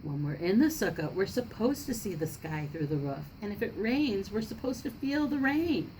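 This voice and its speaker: A woman's voice: medium pitch, sing-song, moderate pace, dark tone, a little hiss or hum, pitched above the performer's own voice, close to an everyday voice, rough recording. A woman voicing a patient, firm father who explains things to his child in a storybook.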